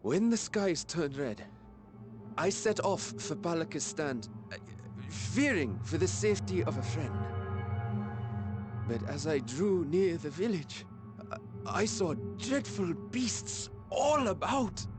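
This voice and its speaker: Halting, high-voiced male fisherman. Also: very animated, music in the background. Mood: shaken. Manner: halting, stammering, anxious. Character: humble, frightened fisherman bearing grave news. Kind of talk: video game cutscene voice acting.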